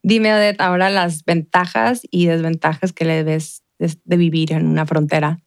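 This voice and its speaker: A woman's voice: low voice, steady tone, normal speed, dry recording, clean studio sound. A woman from the Mexican border region hosting a podcast, asking questions and encouraging her guest with frequent murmurs of agreement. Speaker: podcast host. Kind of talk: casual interview.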